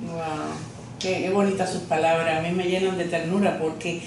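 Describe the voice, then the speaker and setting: A woman from Cuba, hosting an interview in an office and responding warmly to her guest. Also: fairly low pitch, level tone, moderate pace, slight background noise. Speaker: interviewer, host. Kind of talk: casual conversational interview. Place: office, seated at a desk. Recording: echoey room from far off.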